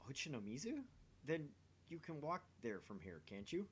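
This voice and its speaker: A brash young male scientist from a visual novel, acted out by a man, pushy and theatrical. His pitch leaps around as he pries and teases, over faint noise. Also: sing-song, normal speed. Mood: nosy, incredulous. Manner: dramatic, pushy, teasing.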